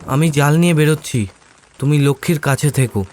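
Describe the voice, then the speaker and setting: Man playing an earnest, higher-voiced poor village fisherman. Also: brisk, a little mellow, a little hiss or hum, lightly acted. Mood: grave, resolute. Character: poor, determined village fisherman, a worried father. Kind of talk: cartoon voice acting.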